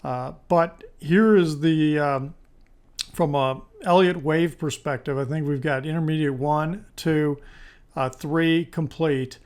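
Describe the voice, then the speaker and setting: Guy w slightly hoarse, kinda high voice doing market chart commentary, informal, thinking out loud. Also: steady tone, slow. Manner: informal, tentative, thinking aloud. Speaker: stock market video presenter. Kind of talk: screen-share market analysis commentary.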